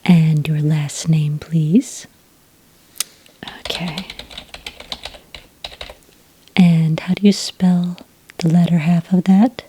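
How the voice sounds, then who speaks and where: A woman doing a soft-spoken ASMR roleplay as a patient enrollment witch, in a breathy, whispery voice with a gentle, polite manner. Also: low voice, steady tone, a little hiss or hum. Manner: gentle, slow, hesitant official patter. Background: computer keyboard typing.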